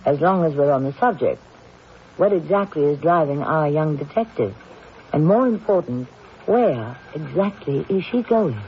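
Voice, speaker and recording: Male mystery narrator, fairly high voice, measured, theatrical, philosophical, dull old radio recording with faint hiss. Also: animated. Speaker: host, narrator.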